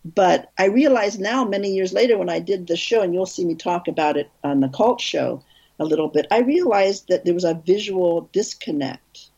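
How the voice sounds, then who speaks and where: Woman, director as interview guest; low husky voice, animated rambling storyteller, lo-fi remote sound. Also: normal speed. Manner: animated, rambling, frequent restarts and laughs.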